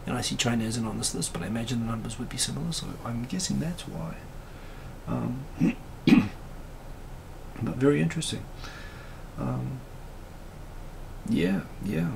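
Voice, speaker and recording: Gravelly man chatting on a live stream. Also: mid-range voice, measured pace, long pauses, darkish tone, a little hiss or hum. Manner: rambling, many fillers and asides. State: raspy voice. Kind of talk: casual live-stream chat reading comments.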